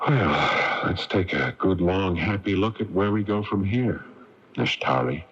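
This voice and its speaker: An actor playing a rugged, wry, laconic adventurer hero in a 1980s radio drama. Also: male voice, deep voice, animated, measured pace, a bit bright, breathy edge, heavily acted. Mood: relieved, tender. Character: rugged, wry adult male adventurer hero, steady and romantic. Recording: dull lo-fi AM radio recording.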